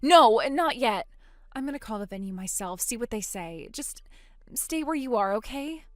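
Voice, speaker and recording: A woman's voice: a little high, expressive, moderate pace, no room sound, clean studio sound, close to an everyday voice. A woman voicing a determined older sister who speaks firmly and confronts others in a controlled way. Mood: concerned.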